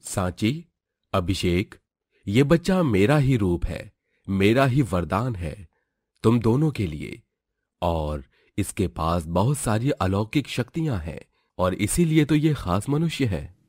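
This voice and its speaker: A serene deity, voiced by a man in a storybook tale. He speaks in a solemn, benevolent way, softly and with very little room sound. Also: lowish voice, lively intonation, slow pace, dry recording, studio quality.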